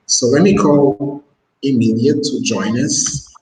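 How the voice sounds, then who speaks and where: A man hosting a casual talk show interview over a video call, speaking haltingly with many restarts. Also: medium pitch, darkish tone, echoey room, a little hiss or hum, poor audio. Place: Mozambique.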